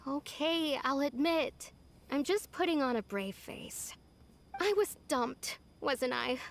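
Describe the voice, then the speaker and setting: A woman playing a gentle, wistful but brave young village girl in a video game. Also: high-pitched, slow pace, gravelly, exaggerated voice. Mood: hurt.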